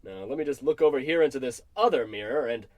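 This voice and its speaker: A man's voice: medium pitch, animated, quick pace, darkish tone, dry recording, lightly acted. An actor playing a nervous, whiny salesman in a parody old-time radio comedy, anxious and easily exasperated. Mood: worried, uneasy. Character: nervous, jumpy male travelling salesman, whiny sidekick.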